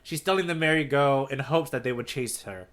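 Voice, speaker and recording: A man's voice: medium pitch, a little fast, a bit bright. A man co-hosting a podcast in casual chat, talking analytically and checking in with "right?". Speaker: podcast co-host who has read ahead, the guide.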